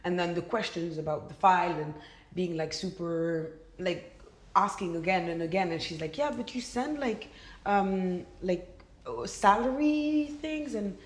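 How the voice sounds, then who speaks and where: A frank young woman from Belgium, speaks English in a slightly low voice. She tells her story in an animated way, full of "like"s and restarts. The room around her rings with a noticeable echo. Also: unhurried. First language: French. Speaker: podcast guest. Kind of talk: casual conversation. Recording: roomy echo.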